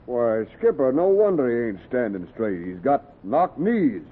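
A man playing a rough, mocking ship's mate with husky, drawling, folksy sailor talk in a historical radio drama. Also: medium pitch, very animated, unhurried. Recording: thin phone-like old recording.

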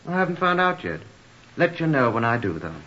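A man's voice: on the high side, sing-song, brisk, bright, forceful tone. An actor playing a flippant, garrulous man with macabre humour and wordy, mock-literary talk in a radio drama. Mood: breezy. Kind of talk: old-time radio drama acting. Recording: dull, muffled old radio recording.

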